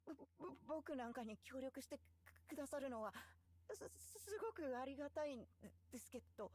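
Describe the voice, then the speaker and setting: A woman voicing a timid, apologetic young man in a visual novel who stammers softly and keeps pushing away help. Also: high-pitched, lively intonation, racing. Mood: timid, pleading. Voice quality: soft, breathy, stammering. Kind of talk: Japanese visual novel voice acting.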